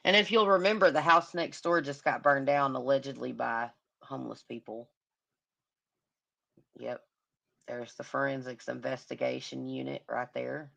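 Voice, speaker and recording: A woman hosting a casual livestream, commenting on a crime case in a hoarse voice as she loses it and humming to herself. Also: a bass voice, moderate pace, a bit bright. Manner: murmuring, sympathetic, hums to herself. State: hoarse, losing her voice. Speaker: livestream host.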